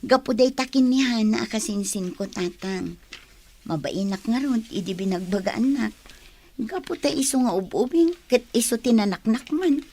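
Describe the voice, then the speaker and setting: A modest young college student played by a woman in a radio drama. Her voice is on the high side, and she speaks as a grateful, respectful daughter. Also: lively intonation, normal speed, darkish tone. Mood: earnest, modest. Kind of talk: Ilocano radio drama acting.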